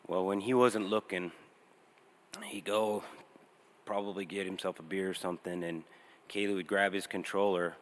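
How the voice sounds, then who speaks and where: Deep-voiced middle-aged man telling a story. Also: flat delivery. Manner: plain, halting storytelling. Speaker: father speaking at a memorial. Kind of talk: memorial storytelling speech. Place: memorial service.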